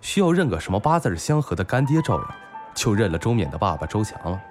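A brash, foul-mouthed bar owner, voiced by a man banters like an old buddy in an audio drama. His voice is fairly low and very animated, over faint music. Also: quick pace. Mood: bantering. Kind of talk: Chinese audio drama acting.

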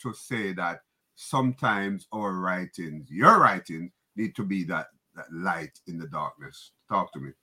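A man with a Jamaican accent hosting an interview, talking in a casual, animated way with many stammered restarts. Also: low voice, measured pace, husky. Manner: stammering restarts, animated, often asks "right?". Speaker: interview host.

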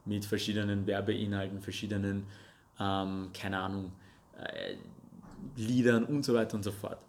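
A man's voice: lowish voice, lively intonation, moderate pace, slight background noise. A young man from Russia, raised speaking Russian and Armenian, earnestly chatting as a podcast guest with frequent hesitations. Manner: earnest, talks with hesitant ums. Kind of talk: casual interview chat.

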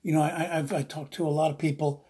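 A preacher from the US East Coast with a husky, slightly rough voice, teaching informally from the Bible in an earnest, rambling way and repeating phrases for emphasis. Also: mid-range voice, flat delivery, fast, mellow.

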